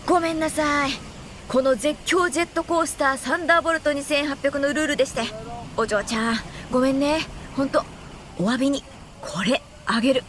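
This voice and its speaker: A woman playing a high-spirited blond young amusement park attendant in a radio drama, with bouncy, eager customer-service patter. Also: a little fast, fairly bright tone, slight background noise. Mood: apologetic, eager.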